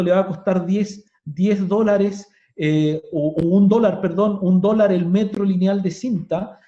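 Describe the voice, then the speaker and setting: Higher-voiced man on a muffled online call. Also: dark tone, roomy sound. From Chile. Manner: conversational, plain-spoken explaining. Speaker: agronomy speaker. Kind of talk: webinar talk.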